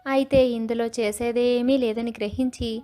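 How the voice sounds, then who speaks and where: A woman's voice: a little high, steady tone, normal speed, mellow, faint music behind. A woman storyteller reading scripted narration for a moral story.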